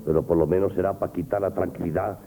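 A dark-voiced, stern, proud shopkeeper. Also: male voice, low voice, fairly even intonation, quick pace, creaky. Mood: cold, reproachful. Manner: blunt, scolding, commanding. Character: stern, wounded, proud shopkeeper, father of a daughter. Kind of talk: Spanish radio theatre acting, 1970s. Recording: muffled, noisy old radio broadcast.

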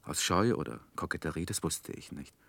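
An actor playing a reflective gentleman narrator recalling his youth in a 1960s radio play, measured and literary. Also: low voice, lively intonation, gravelly, no room sound, exaggerated voice.

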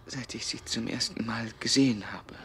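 Actor for smooth, opportunistic wooer in a radio play. Mid-range male, earnest yet hesitant, ambient noise behind. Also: medium pitch, normal speed, a bit bright, natural voice. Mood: ardent, courting. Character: smooth-talking, opportunistic suitor, anxious anarchist comrade. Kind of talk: German radio drama acting.

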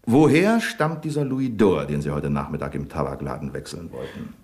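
An actor playing a cool, ironic police inspector who questions suspects in a radio crime play. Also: male voice, rumbling low, lively intonation, moderate pace, a little mellow. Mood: interrogating. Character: cool, probing middle-aged male police inspector. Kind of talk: 1970s radio crime drama acting.

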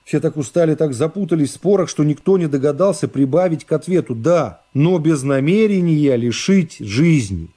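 Male narrator for literary radio drama; dark, soft-edged tone, scripted dramatic delivery. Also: on the high side, lively intonation, a little fast, hardly any pauses. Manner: dramatic reading of classic prose.

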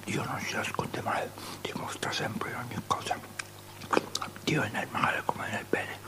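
An actor playing a pious, disputatious religious elder in a radio drama, arguing in a breathy, conspiratorial whisper. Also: male voice, mid-range voice, unhurried, close to an everyday voice. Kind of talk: avant-garde theatrical radio drama.

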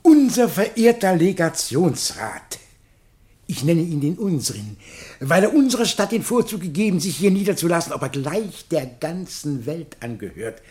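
A man's voice: a little high, sing-song, moderate pace, a little mellow, slightly rough. An actor playing a pompous, fawning man of letters in a radio play, speaking in ornate, self-important oratory. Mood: solemn, grandiloquent. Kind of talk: theatrical radio play acting.

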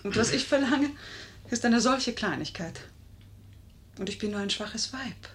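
A charming, wily lady in a vintage 1950s radio drama. Her voice is fairly high and emotive, with drawn-out theatrical emphasis as she pleads and flatters. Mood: pleading. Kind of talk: 1950s German radio play acting.

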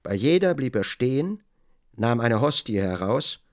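An actor playing a measured literary narrator, delivering descriptive scripted narration in a radio drama. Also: male voice, medium pitch, sing-song. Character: measured male literary narrator observing closely.

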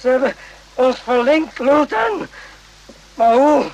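An actor playing a distraught, embittered military man in a radio play, talking in halting, agitated repetitions. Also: very high-pitched, animated, gravelly, a little mellow, lo-fi sound, heavily acted. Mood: agitated, vengeful. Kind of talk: Dutch radio play acting. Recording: noisy old radio drama recording.

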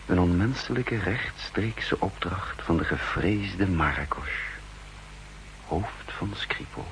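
Slow, gravelly, brooding spy narrator. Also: male voice, deep voice, animated, exaggerated voice. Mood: grave, suspenseful. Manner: deliberate, grave, introspective. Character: brooding male spy chief narrating his own secret story. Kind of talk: 1960s radio play first-person narration. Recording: 1960s radio recording, faint hum and noise.

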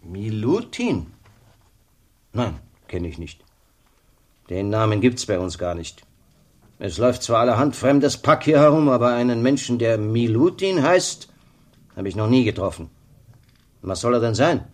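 An actor playing a blunt, grumbling former village policeman in a radio play, with a husky voice. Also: medium pitch, lively intonation, measured pace, pauses often, a little mellow.

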